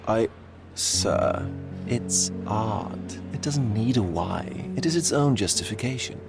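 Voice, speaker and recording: An actor playing a pretentious portrait artist in a video game, airy and theatrical. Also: male voice, lowish voice, expressive, slow, gravelly, over loud music, exaggerated voice. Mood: persuasive. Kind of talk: video game cutscene voice acting.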